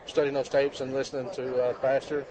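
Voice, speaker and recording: A man from Arkansas answering questions in a relaxed way, pausing with fillers. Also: medium pitch, a crowd in the background. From Arkansas, USA. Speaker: interviewee. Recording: noisy outdoor event ambience.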